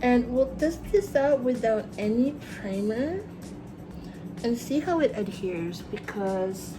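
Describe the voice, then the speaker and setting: A woman's voice: on the high side, lively intonation, takes it slowly, over music, rough recording. A young woman with a Filipino accent, chatting through a makeup tutorial in her bedroom, bubbly and talking as she applies makeup. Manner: chatty, bubbly, talks while applying makeup. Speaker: makeup vlogger. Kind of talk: casual makeup tutorial narration. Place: small bedroom with fairy lights.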